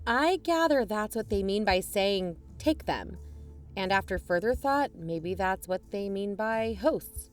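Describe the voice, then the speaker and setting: A woman in scripted audio drama dialogue, conciliatory and pleading, her pitch rising when anxious. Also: expressive, unhurried. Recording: music bed underneath.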